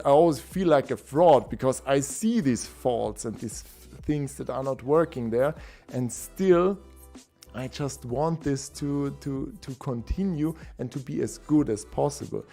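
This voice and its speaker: A man hosting a casual live stream, a bit hoarse from being unwell, chatty and enthusiastic. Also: medium pitch, warm tone. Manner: enthusiastic, chatty, with laughs and restarts. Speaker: host of a typography livestream.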